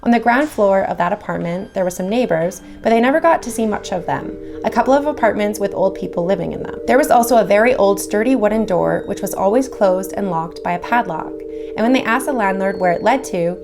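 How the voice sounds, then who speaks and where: A woman's voice: mid-range voice, gravelly. A woman reading horror stories aloud, with casual chatty asides between them. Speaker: horror story reader.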